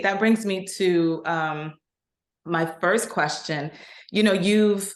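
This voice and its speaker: A woman magazine editor hosting an interview, upbeat and encouraging. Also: lowish voice. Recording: echoey room.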